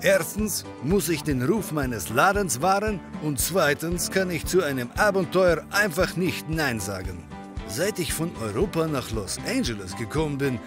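A hearty, animated immigrant barkeeper. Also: male voice, fairly high pitch. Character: hearty, adventurous Polish immigrant male barkeeper. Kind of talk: occult comedy audio drama acting.

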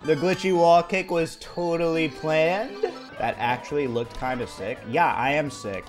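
A man in his thirties, originally from India, chatting and joking with his stream chat while playing a speedrun at home, with sudden loud outbursts. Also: fairly high pitch, unhurried, soft background music. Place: small home room, indoors.

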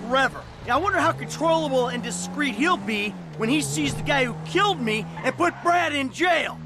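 An actor playing a weary, sarcastic middle-aged ex-robber in a video game cutscene, talking brusquely and swearing. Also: male voice, very high voice, expressive, moderate pace, music in the background. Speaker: game character. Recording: game audio with clear engine noise. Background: traffic and vehicle noise.

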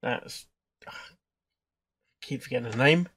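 A young man giving halting, casual reaction commentary as the host of a reaction video, muttering short interjections. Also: mid-range voice, a bit bright, slightly rough, no room sound, clean studio sound. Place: indoor room at a computer, headset on.

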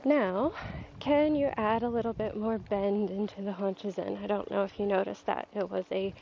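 A woman with a stuffy voice from a cold, calmly explaining her training as she rides in an outdoor arena. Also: mid-range voice, moderate pace, gravelly, slight background noise. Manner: calm, coaching, talks while riding. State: stuffy, getting over a cold. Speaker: dressage rider and trainer. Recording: dull lo-fi outdoor sound. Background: faint outdoor ambience and horse.

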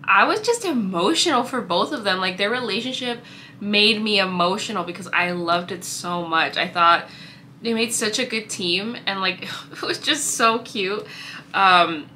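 A woman's voice: mid-range voice, lively intonation, a little slow, bright, forceful tone, a little hiss or hum. A young woman chatting casually about books in a reading vlog, with a slightly congested allergy voice and a constant stream of "like" and "um". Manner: chatty, filler-heavy with frequent "like" and "um". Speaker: book vlogger. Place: indoor room with bookshelves. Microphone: fixed camera at desk distance.

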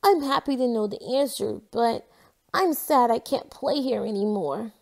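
A woman voicing a cheerful, earnest young boy in a storybook, with a simple, expressive manner. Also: a little high, unhurried, creaky, voice pushed up. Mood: earnest, grateful. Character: Jasper, cheerful, grateful young boy with allergies, storybook friend.

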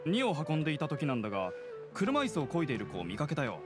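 Lively, helpful game townsman. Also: fairly high pitch, fast. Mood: helpful, concerned. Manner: casual, concerned. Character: friendly, helpful young townsman, fantasy game character. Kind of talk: anime game voice acting. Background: background music.

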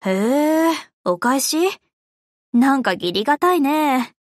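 A proud, cool anime game warship woman. She speaks slowly with reserve, in a fairly high voice, recorded very dry. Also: animated, dry recording. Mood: cool, amused. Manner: measured, reserved. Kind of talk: Japanese anime game voice lines.

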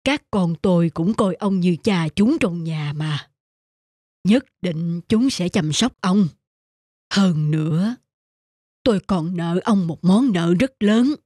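An actor playing a kindly, concerned elderly man in a cartoon folk tale, speaking in a measured, earnest way. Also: high-pitched, darkish tone, dry recording, studio quality. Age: older. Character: kindly, concerned elderly male old friend.

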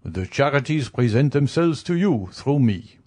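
A man's voice: mid-range voice, big pitch swings, a little slow, a little mellow, pitched above the performer's own voice. A man voicing a courteous, formal male official in an audiobook. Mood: formal, insistent. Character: formal, polite male official collecting for charity. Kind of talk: audiobook character voice.

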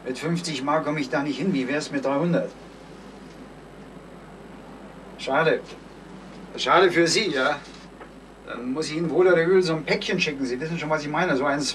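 A man playing a cool, brazen crook from a seventies radio play. His voice sits a little high and slightly away from the microphone. He stays smoothly polite, with menace underneath. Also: close to an everyday voice. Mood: slick, bargaining. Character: cool, brazen dog-snatching male swindler demanding ransom. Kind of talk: 1970s German radio drama acting. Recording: slightly noisy, distant 1970s radio recording.

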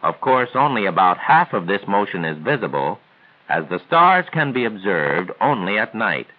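Man, 1940s training film narrator, highly varied intonation, formal didactic delivery, narrow phone-like vintage sound. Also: sing-song, normal speed. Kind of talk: scripted 1940s instructional narration. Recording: tinny band-limited 1940s film soundtrack.

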